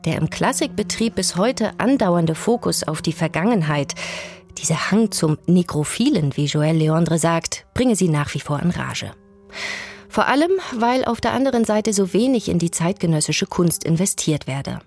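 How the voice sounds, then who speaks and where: A woman with a fairly low voice narrates a scripted radio documentary. Her delivery is calm and measured. Faint music plays underneath.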